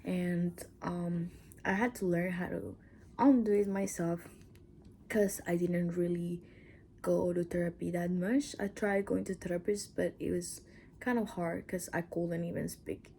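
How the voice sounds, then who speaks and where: A woman's voice: lowish voice, steady tone, a little slow, gravelly, dark tone, slight background noise. A woman from Mexico with a Mexican accent, Spanish as her first language, chatting in a casual personal vlog, rambling with asides and sometimes dropping to a whisper. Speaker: channel host.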